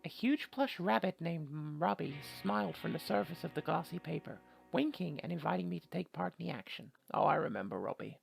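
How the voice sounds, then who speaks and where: A very creaky, gravelly voice reads game text aloud and cuts in with mocking, sweary asides. The delivery is that of a joking player, with faint music behind it. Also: mid-range voice, expressive, brisk, few pauses. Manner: sarcastic, sweary, mocking interjections. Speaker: video game player reading a visual novel aloud.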